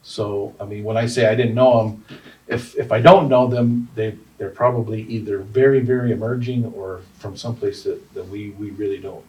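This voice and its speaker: A man's voice: fairly low pitch, steady tone, moderate pace, a little mellow. A man, an artist, answering panel questions thoughtfully, with restarts and hedges. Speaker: artist and exhibition organizer. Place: art center gallery room. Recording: distant mic in a room.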